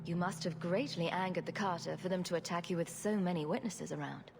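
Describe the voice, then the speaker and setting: A woman's voice: on the low side, a little fast, creaky, long reverb tail, background noise. A woman voicing an assertive, self-assured young female adventurer in a video game.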